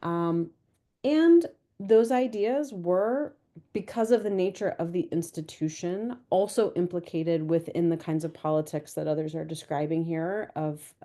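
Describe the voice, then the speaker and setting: Measured, warm-toned woman historian. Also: on the low side. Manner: measured, explanatory, lecture-like. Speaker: history professor on a panel. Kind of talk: academic panel answer.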